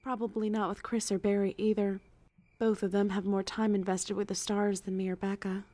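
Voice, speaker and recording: A woman's voice: mid-range voice, flat delivery, normal speed, warm tone, natural voice. A woman playing a wry, resolute young female team member in an audiobook, voicing thoughts and dialogue. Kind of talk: audiobook inner monologue and dialogue. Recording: music behind the voice.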